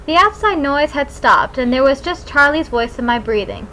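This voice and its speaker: Bright-voiced woman reading a novel. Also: high voice, animated, moderate pace, rough recording. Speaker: audiobook narrator. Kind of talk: scripted first-person novel narration.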